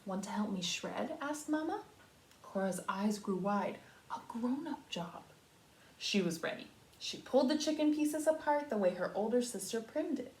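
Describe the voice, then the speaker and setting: A woman reading a children's storybook aloud like a kindergarten teacher, expressive and pausing to talk to young listeners. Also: fairly high pitch. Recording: roomy echo.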